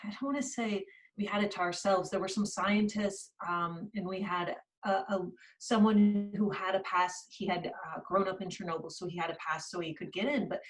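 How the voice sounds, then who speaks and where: A woman's voice: medium pitch, fairly even intonation, moderate pace, recorded from a distance, poor audio. A woman author from California, reading from her book and then answering interview questions in a chatty way, with fillers and restarts. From California, USA. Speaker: author and journalist.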